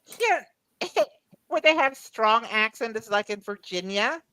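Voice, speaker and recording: Higher-voiced woman with Southern Mississippi accent. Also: animated, slow pace, sharp tone, dry recording, poor audio. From Mississippi, United States. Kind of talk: casual chat.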